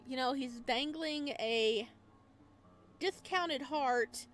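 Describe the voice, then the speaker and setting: High-voiced woman reading a visual novel. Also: drawn-out words, a bit bright, faint music behind. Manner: informal, slangy, reacts in asides. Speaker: gaming video host. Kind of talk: visual novel let's play, reading aloud with casual reactions.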